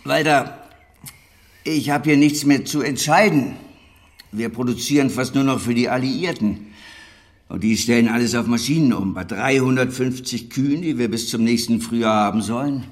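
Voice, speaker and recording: An actor playing a gruff, hearty older dairy master in a radio play, talking in an earthy, colloquial, fatherly way to his former apprentice. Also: mid-range voice, moderate pace, close to an everyday voice. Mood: matter-of-fact, wry. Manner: earthy, colloquial, wry, chuckling asides. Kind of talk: naturalistic German radio drama acting.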